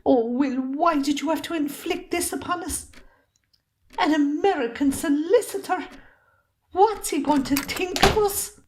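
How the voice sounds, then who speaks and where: A woman's voice: high-pitched, measured pace, a little mellow, rough recording. A woman with an Irish accent playing a long-suffering, fiery middle-aged wife and mother in an amateur drama. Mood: fretful, weary. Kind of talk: amateur stage drama acting.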